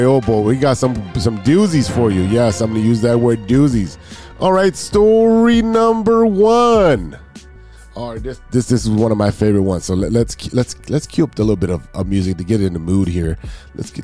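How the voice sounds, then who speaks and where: A man's voice: medium pitch, very animated, normal speed, dark tone, soft background music. A man hosting a casual sports and news podcast, sounding nasal and stuffy from allergies, rambling and joking with restarts. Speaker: podcast host.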